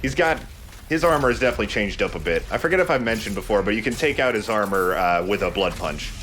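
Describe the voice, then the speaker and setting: A man's voice: lowish voice, expressive, fast talker, a bit bright, slight background noise. A man giving casual, conversational video game commentary as a let's play host, explaining as he goes. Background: game music.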